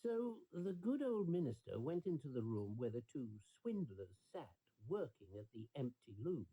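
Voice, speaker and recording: Very mellow male bedtime storyteller. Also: medium pitch, big pitch swings, moderate pace, husky, low-quality recording. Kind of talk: bedtime story narration for children, scripted.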